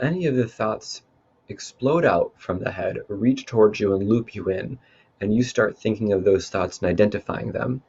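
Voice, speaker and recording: A man leading a guided meditation in a calm, unhurried, instructive way. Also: on the low side, highs cut off. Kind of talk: guided meditation, spoken softly to listeners.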